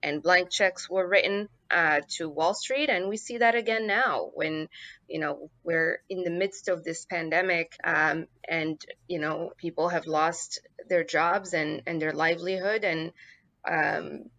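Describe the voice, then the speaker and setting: A woman from Morocco, a congressional candidate, answering interview questions in an earnest, measured way with frequent um and uh. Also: on the low side, fairly bright tone, no room sound. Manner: earnest, measured, many fillers. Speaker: political candidate, guest.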